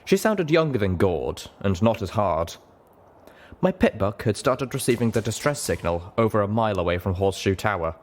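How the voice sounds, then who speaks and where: Low-voiced male storyteller with animated pitch. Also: normal speed. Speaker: reader, narrator. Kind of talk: scripted audiobook narration.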